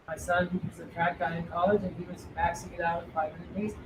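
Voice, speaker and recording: A man's voice: sits high, fast talker, a crowd in the background. A middle-aged man, a running coach, talking animatedly to a live audience and asking them questions. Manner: animated, asks the audience, jokey. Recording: roomy hall, distant voice, faint noise.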